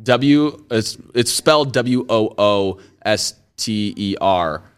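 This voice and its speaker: A man's voice: lowish voice, slow pace, clear, resonant tone. A man from Northern Illinois with an Upper Midwest accent, a news presenter chatting casually with a guest and telling self-deprecating stories. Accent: Upper Midwest American. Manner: conversational, self-deprecating anecdotes. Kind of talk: casual interview chat.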